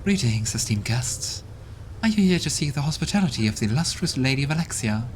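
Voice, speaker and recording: A man's voice: mid-range voice, big pitch swings, fairly quick, slight background noise, natural voice. A man reading a gracious talking gate. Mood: courteous. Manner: courteous, formal greeting. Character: polite talking manor gate, gracious, formal. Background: game music.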